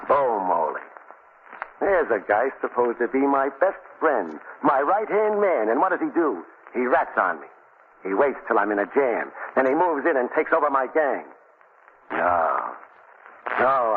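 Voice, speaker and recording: A man's voice: medium pitch, sing-song, a little slow. An American actor playing a vengeful, superstitious condemned gangster with slangy tough-guy talk in a 1940s radio drama. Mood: vengeful, menacing. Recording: narrow, hissy 1940s radio recording.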